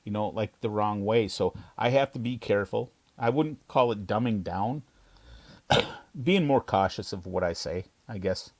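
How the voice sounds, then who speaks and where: A man's voice: fairly low pitch, unhurried. American guy in a casual chat, frank, talks easy, drops filipino words in. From United States. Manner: chatty, frank storytelling with bits of Filipino words. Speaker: podcast co-host.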